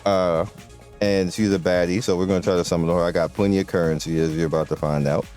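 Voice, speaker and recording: A young man giving casual gameplay commentary, rambling and excitable, often restarting his sentences. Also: low voice, fast, soft background music. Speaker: gaming video creator.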